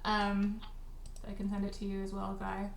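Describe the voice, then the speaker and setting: A woman's voice: medium pitch, completely flat delivery, moderate pace, creaky, a little hiss or hum. A woman chatting casually on a livestream, giggly and teasing with her friends. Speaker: cast or crew member hosting a livestream party. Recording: roomy, echoey call audio.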